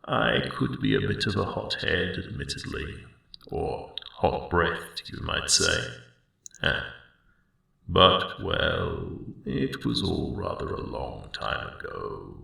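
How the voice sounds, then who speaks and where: A man voicing a friendly, elderly male dragon in an ASMR roleplay, rambling slowly and wryly in a deep, gravelly rumble. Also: heavily acted. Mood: wry, sheepish. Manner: slow, rambling, reflective, gently wry. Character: friendly ancient male dragon, mellowed guardian of a spring. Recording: roomy cave-like echo, distant sound.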